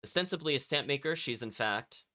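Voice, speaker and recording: A man's voice: medium pitch, animated, brisk, sharp tone. A man streaming a video game, reading its text aloud and chatting with casual asides to his viewers. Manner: chatty, often commenting as an aside.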